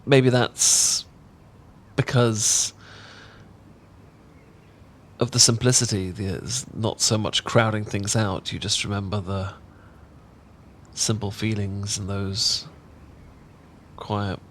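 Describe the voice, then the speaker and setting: A British man playing a shy, tender roommate in a romantic ASMR audio roleplay, speaking in a gentle, halting, earnest way. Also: fairly low pitch, fairly even intonation, a little hiss or hum. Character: shy, tender male roommate confessing love.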